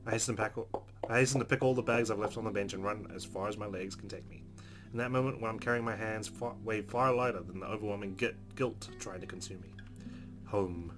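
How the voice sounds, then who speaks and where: A man's voice: lowish voice, fairly even intonation, quick pace. A man playing a visual novel, reading the narration aloud expressively with casual, wry asides over game music. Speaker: let's player. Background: game music with guitar.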